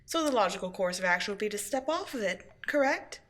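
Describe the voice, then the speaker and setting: A woman playing a grandiose, scornful supervillain in an audio roleplay, gloating and taunting with drawn-out emphasis. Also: mid-range voice, lively intonation, a bit bright, close to an everyday voice. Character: theatrical female supervillain, gloating, scheming and scornful. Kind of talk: scripted audio roleplay acting.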